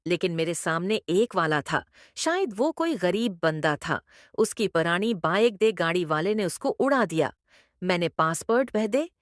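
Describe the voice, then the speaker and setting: A woman's voice: medium pitch, no room sound, clean studio sound. A woman reading a scripted first-person story as a narrator.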